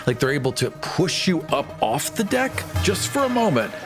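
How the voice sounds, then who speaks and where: A man narrating a tabletop game as game master, calm and descriptive, often breaking into laughter. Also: fairly high pitch, moderate pace, music in the background.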